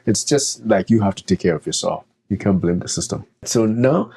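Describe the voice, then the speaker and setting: A man with a very low, mellow voice talks through practical advice in a relaxed podcast chat. He speaks as a doctor, hedging with fillers and restarting his sentences as he goes. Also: moderate pace, vocal fry, breathy edge. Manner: conversational, many fillers and restarts. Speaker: doctor and co-host.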